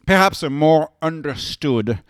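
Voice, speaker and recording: A man giving unscripted solo commentary as a host, halting and often repeating words as he restarts his phrases. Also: a little high, expressive, clear, resonant tone, dry recording, studio quality.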